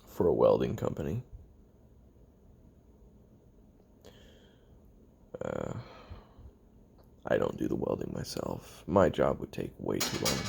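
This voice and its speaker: A man acts out a lonely, worn-out former hitman in his twenties, recording a confessional audio diary for a roleplay drama. His voice is extremely deep and husky, rising and falling in lively swings. He speaks haltingly and broodingly, trailing off into pauses. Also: exaggerated voice. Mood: weary, bitter. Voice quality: gravelly, husky. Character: weary, lonely 29-year-old retired assassin confessing on tape.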